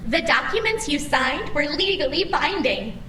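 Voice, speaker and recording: Woman playing a high, chirpy computer program. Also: big pitch swings, measured pace, noisy background. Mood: salesy welcome. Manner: formal, contraction-free, cheerfully officious speech. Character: chirpy female computer program greeting the digitized dead, unsettlingly honest. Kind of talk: scripted audio drama acting. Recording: music behind the voice.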